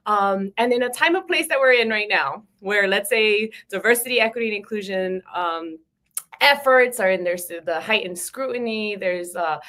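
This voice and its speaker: A woman's voice: mid-range voice, moderate pace, bright, forceful tone. A woman hosting an online interview, talking conversationally with frequent restarts and asides like "right?". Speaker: webinar host.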